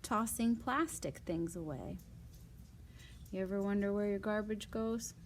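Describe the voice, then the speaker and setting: A woman's voice: mid-range voice, measured pace, slight background noise. A woman reading non-fiction picture books aloud to young children, adding casual asides, simple explanations and questions to the listeners.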